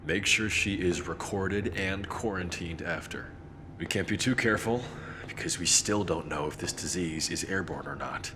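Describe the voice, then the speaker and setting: Man acting deep-voiced, brooding police detective. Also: normal speed, noisy background, close to an everyday voice. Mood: businesslike. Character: wary, brooding male police detective, curt and methodical. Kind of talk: audio drama acting.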